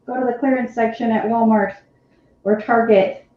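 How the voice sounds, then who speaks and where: A woman's voice: a little high, fairly even intonation, normal speed, a little hiss or hum. A woman co-hosting a live painting stream, chatting in a rambling way and laughing often. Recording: very echoey, dull lo-fi sound.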